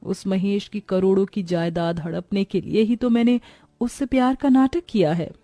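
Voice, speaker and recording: A woman's voice: medium pitch, moderate pace, mellow, no echo, close to an everyday voice. Woman playing coy, scheming young fiancée. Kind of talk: Hindi moral-story cartoon acting.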